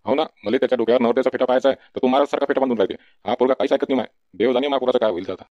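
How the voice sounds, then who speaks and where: Man acting a fast-talking, fretful village father. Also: medium pitch, no room sound, lightly acted. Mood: exasperated. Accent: Varhadi Marathi. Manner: nagging, folksy. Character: fretful village farmer father, bossy, exasperated by his son. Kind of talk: comedy cartoon dialogue. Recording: dull, lo-fi sound.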